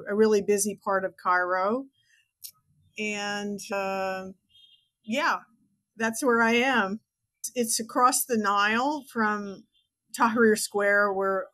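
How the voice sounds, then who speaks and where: A woman's voice: mid-range voice, a bit bright. A woman in her sixties from Texas with an American accent, talking conversationally as an interview guest. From Texas, United States. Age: 60s. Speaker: podcast guest, author and teacher.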